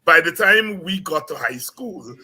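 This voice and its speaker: A man's voice: fairly high pitch, unhurried, breathy edge. A man in his sixties from Guyana with a Guyanese accent, reflecting as a guest on an interview and often repeating words as he starts a thought. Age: 60s. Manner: animated storyteller, repeats words when starting. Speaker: guest, university lecturer.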